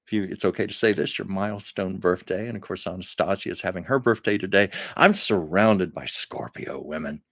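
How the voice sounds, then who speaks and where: A man's voice: sits low, brisk, creaky, hardly any pauses, fairly bright tone, no echo. A man hosting his own livestream from home, chatting casually with viewers and laughing often. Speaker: livestream host. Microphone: camera on a livestream setup.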